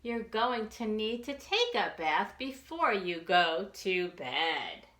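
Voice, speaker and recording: A woman's voice: mid-range voice, very animated, slow, a bit bright, reverberant. A woman voicing a loving, fussing mother dinosaur in a children's storybook, with exclaiming, sing-song scolding. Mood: mock dismay. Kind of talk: children's storybook read-aloud.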